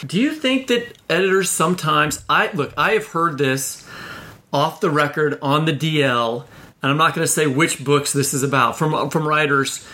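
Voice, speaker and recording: A man with a bright, forward voice that sits slightly high. He hosts an interview casually, putting conversational questions and comments to a guest. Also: moderate pace. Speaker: podcast host, novelist.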